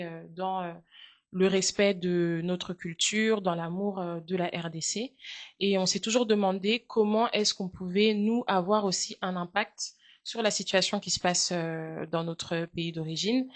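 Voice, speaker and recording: A French woman in her thirties talks through an interview in an earnest, measured way. Her voice sits at an ordinary middle pitch, and she stops often on small hesitant fillers. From France. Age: 30s. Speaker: guest, charity founder. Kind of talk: interview answers.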